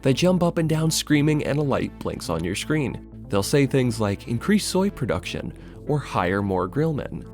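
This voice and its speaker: A man's voice: mid-range voice, very animated, normal speed, faint music behind. A man narrating a scripted video essay in a conversational, sardonic and emphatic way, with casual asides and swearing.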